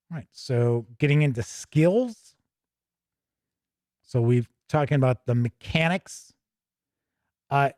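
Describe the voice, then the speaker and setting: A man's voice: medium pitch, darkish tone, dry recording, studio quality. An American man hosting a tabletop gaming show, reviewing casually off the cuff and thinking aloud as he rambles.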